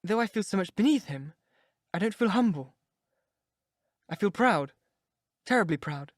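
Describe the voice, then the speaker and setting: A man's voice: high-pitched, expressive, normal speed, no room sound, studio quality, pitched above the performer's own voice. A man voicing an eager, lovestruck young woman in a read-aloud story. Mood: adoring.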